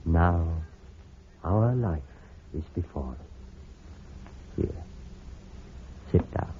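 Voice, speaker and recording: An actor playing a smooth, manipulative doctor in a 1940s radio drama, with a theatrical, coaxing delivery. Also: male voice, sits low, lively intonation, extremely slow, exaggerated voice. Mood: pleading, ardent. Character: smooth, scheming, manipulative male doctor, secretly menacing. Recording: muffled, noisy vintage radio recording.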